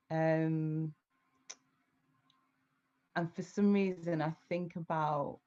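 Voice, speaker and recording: A woman with a very low voice who reads poetry and then talks things through with pauses and fillers. She sounds thoughtful and a little tentative. Her audio is dull and lo-fi, as on a video call. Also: darkish tone. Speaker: poet and guest. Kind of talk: spoken-word poetry and reflective conversation.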